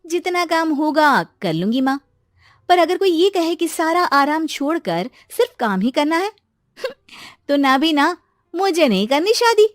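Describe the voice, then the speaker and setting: Lady doing a chirpy outspoken young girl from a cartoon drama, really high and bouncy. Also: very animated, a little slow, dry recording, studio quality. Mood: defiant. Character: spirited, free-thinking young woman about to marry.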